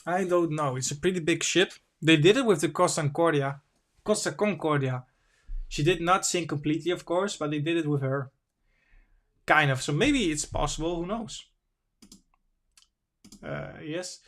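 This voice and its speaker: Halting man, English not his first language. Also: fairly high pitch, fairly bright tone. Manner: halting, self-correcting, reads slowly and stumbles. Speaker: livestream host. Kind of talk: casual livestream chat, reading articles aloud.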